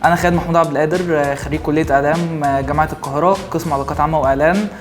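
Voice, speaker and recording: A man's voice: on the high side, steady tone, racing. A young man with an Egyptian accent, recently graduated, giving an earnest testimonial full of filler sounds. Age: young adult. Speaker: intern. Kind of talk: testimonial interview. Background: music.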